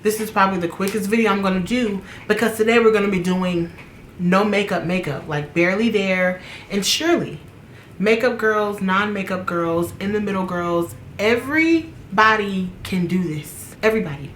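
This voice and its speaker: A woman's voice: mid-range voice, moderate pace, slight background noise. A woman beauty vlogger giving a casual, upbeat makeup tutorial, chatting straight to her viewers.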